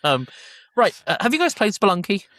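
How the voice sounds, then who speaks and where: A man's voice: sits high, big pitch swings, fairly quick, fairly bright tone, no room sound. A man hosting a casual review chat among friends on a podcast. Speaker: podcast host.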